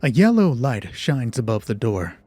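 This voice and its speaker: A man narrating a scripted, dramatic horror story as host of a solo roleplay, with measured, deliberate phrasing. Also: medium pitch, big pitch swings, dark tone, breathy edge, dry recording.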